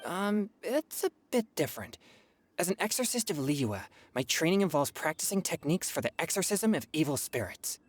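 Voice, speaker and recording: Casting: polite young male exorcist for anime game, low and expressive, slight noisy background. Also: normal speed. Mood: earnest. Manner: earnest, formal. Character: earnest, polite teenage boy exorcist in training. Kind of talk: anime video game voice acting.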